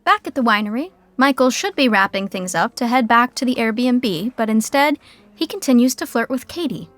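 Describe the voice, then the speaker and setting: A woman's voice: a little high, expressive, moderate pace, a bit bright, soft background music. A woman performing wry, conversational scripted narration for an audio sitcom.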